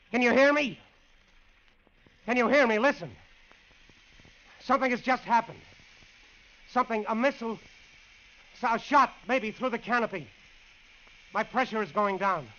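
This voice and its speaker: An actor playing a lone test pilot, awed and straining, in a halting, dramatic old-time radio monologue. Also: male voice, sits high, pushed and bright, slight background noise. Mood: alarmed. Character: male test pilot alone in a rocket plane, awed, straining. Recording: dull, lo-fi vintage radio recording.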